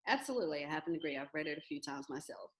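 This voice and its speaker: Lively, expressive woman hosting. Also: sits low, racing. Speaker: host of a children's reading event. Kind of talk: scripted introduction and interview.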